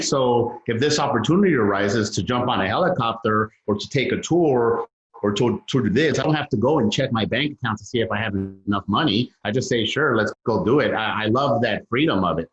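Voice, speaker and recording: A man from south Los Angeles, whose was not English, speaking as a podcast guest, candid and emphatic, swearing freely. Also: mid-range voice. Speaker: guest, real estate investor. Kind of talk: interview, telling his story. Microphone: over a phone line. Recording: dull lo-fi line with room echo.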